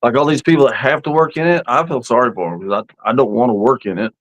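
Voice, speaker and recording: A man's voice: mid-range voice, fast talker, dry recording. A man in his fifties chatting casually on an outdoor live stream in a folksy, rambling storytelling way. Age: 50s. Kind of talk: casual live-stream chat about camping.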